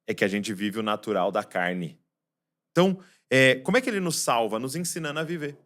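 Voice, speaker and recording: Male preacher, mid pitch, dynamic delivery, rhetorical questions, soft-to-loud swings. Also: lively intonation, a little fast. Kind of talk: Christmas sermon.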